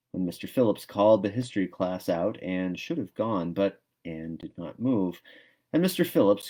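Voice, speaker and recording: Low-voiced man reading a storybook. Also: darkish tone. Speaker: storybook reader. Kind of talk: expressive audiobook-style narration.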